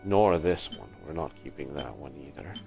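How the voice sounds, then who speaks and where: A man's voice: very deep voice, lively intonation, normal speed, gravelly, a little mellow. A man livestreaming a video game with casual commentary, thinking aloud in short remarks. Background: game music.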